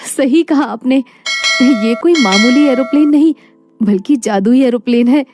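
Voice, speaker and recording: Woman acting an earnest, high-voiced poor wife. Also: unhurried, over music. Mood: excited. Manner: earnest, emotional. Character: poor, proud, devoted young wife. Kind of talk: animated moral story dialogue.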